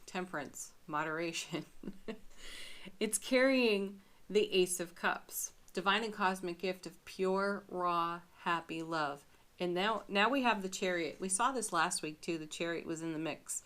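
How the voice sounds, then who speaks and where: Female tarot reader, unhurried musing delivery, lower voice, light scratchiness. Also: fairly bright tone. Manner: chatty, musing, laughs often. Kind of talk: daily tarot reading.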